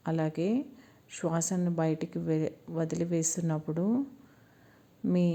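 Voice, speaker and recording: A woman with a Telugu Indian accent leads a guided meditation in a slow, soothing manner, leaving long pauses between short phrases. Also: on the low side, dark tone.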